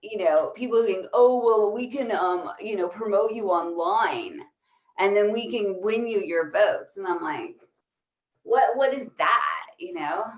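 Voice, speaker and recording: A woman's voice: medium pitch, animated, unhurried. A woman guest chatting casually and ramblingly over a call from an echoey room. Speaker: guest, yoga practitioner. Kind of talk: casual chat. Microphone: video call. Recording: roomy, echoey call audio.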